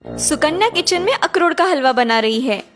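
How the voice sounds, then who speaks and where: A woman narrates a scripted cartoon tale. Her voice is high-pitched, with faint music playing underneath as she tells the story. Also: big pitch swings, moderate pace, a bit bright.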